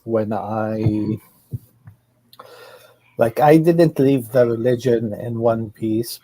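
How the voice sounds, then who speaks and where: Man, unhurried and thoughtful, mellow low voice, dry close sound; chatting guest on a video call. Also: no room sound, low-quality recording. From Syria. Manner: slow, hesitant, reflective. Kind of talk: casual conversation.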